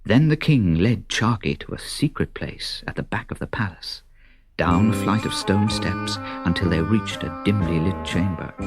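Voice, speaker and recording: A man narrating a children's story in an expressive, unhurried, dramatic way with a husky voice. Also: low-pitched. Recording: children's story cassette with music. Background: music.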